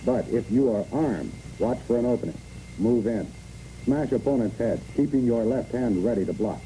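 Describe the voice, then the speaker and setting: A man's voice: mid-range voice, lively intonation, normal speed, dark tone, slight background noise. A man reads scripted instructional narration for a training film in clipped, imperative phrases, on a muffled old film recording.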